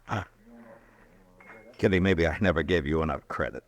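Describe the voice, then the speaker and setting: An actor playing a steady, dryly skeptical American frontier marshal in a radio western, speaking in an understated, unhurried way. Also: male voice, extremely low pitch. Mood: dry, wry. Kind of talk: old-time radio western acting.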